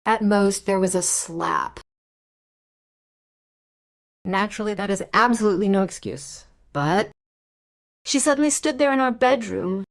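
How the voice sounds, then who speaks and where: A woman's voice: mid-range voice, expressive, a little slow, pauses often. A woman playing a haughty, defensive stepmother in a crime radio play, cool and dismissive.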